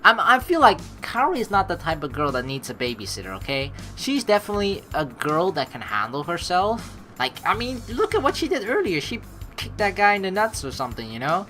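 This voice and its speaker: A woman hosting a let's play in an excitable, reactive way, commenting casually and reading narration aloud. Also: fairly low pitch, brisk, faint music behind.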